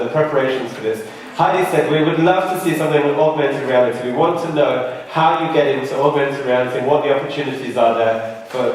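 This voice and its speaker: Higher-pitched male host in a very echoey hall. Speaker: conference host and moderator. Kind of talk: introducing speakers and asking questions. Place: large conference hall. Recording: echoey hall, distant, crowd behind.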